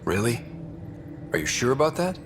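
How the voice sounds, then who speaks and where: A man playing an easygoing young space explorer in a video game, talking casually with dry teasing and quiet support. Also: medium pitch, very animated, normal speed, slight background noise, lightly acted. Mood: gently supportive.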